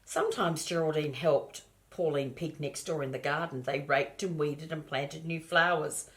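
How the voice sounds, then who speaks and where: An older woman with a fairly low voice reads a picture book aloud as a grandmother would. She is expressive and homely, breaking off for small asides addressed straight to the children. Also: normal speed.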